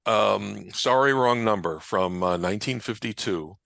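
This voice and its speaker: A man speaking as a researcher and guest in a conversational panel discussion, explaining things in a halting way. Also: on the low side, creaky, fairly bright tone, dry recording. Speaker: radio historian and researcher, interview guest.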